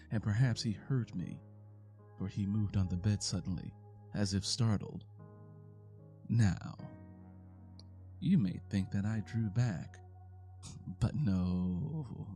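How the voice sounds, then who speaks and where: Man, theatrical horror narration, very slow, dark mellow tone, deliberate pauses, music bed. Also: low-pitched. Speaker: narrator performing a horror story. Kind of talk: dramatic scripted narration in the first person.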